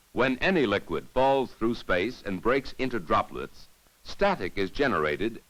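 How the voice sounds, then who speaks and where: A man giving formal, measured, didactic narration in the scripted style of a 1950s educational safety film. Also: mid-range voice, a bit bright, low-quality recording.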